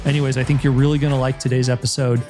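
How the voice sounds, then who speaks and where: A man's voice: mid-range voice, very fast, over music. Laid-back male podcast host. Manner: relaxed, rambling, many fillers and restarts. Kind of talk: casual podcast hosting.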